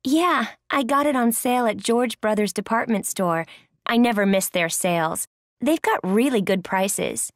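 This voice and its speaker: A woman's voice: a little high, expressive, moderate pace, no room sound, studio quality. A woman playing a friendly, chatty acquaintance making small talk in a scripted listening-exercise dialogue. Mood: pleased.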